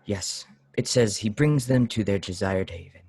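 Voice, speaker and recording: A man playing a strange, pious and weary lodger in a radio play, solemn, deliberate and brusque. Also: on the low side, darkish tone, slightly rough, dry recording. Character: strange, weary, severe pious lodger, brooding and easily angered. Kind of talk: old-time radio play acting.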